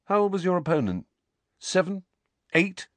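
A man reading the part of a sharp-tongued teenage boy wizard in a storybook, needling and defiant. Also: high voice, very animated, moderate pace, no echo, pitched above the performer's own voice. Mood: taunting.

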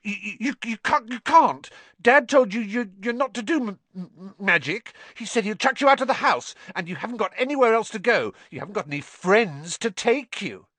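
A man's voice: sits high, lively intonation, normal speed, hardly any pauses, sharp tone, no room sound, voice pushed up, heavily acted. A man voicing a fat, spoiled bully boy from a storybook, sneering, whiny and cowardly. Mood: panicked, howling. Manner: jeering, sing-song taunting, stammers in panic.

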